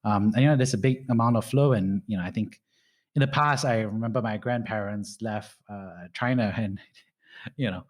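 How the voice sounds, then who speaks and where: Low-voiced man hosting, loose and chatty. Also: normal speed. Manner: rambling, many fillers like "you know" and "right?". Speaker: podcast host. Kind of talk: casual conversational advice.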